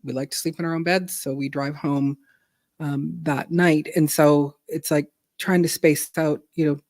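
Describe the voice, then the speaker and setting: A middle-aged woman from Canada hosting a casual live stream, chatty, laughing often and clearing her throat. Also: sits low, fairly even intonation, moderate pace, a little mellow, no room sound, clean studio sound. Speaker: host.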